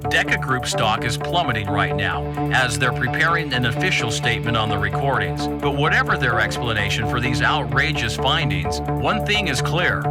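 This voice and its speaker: A man's voice: mid-range voice, lightly acted. An actor playing a dramatic male news broadcaster, delivering declarative breaking news with a showman's flair. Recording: loud music behind the voice.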